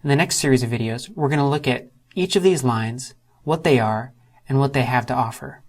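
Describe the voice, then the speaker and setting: Steady man narrating a software tutorial. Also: medium pitch. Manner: steady, methodical walkthrough, slows to spell out terms. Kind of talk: scripted screen-recorded tutorial narration.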